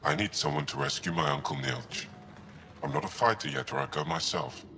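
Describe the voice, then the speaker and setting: A man's voice: very deep voice, lively intonation, a little fast, gravelly, background noise, heavily acted. An actor playing an earnest, solemn young male alien resistance member in a video game. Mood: earnest, urgent.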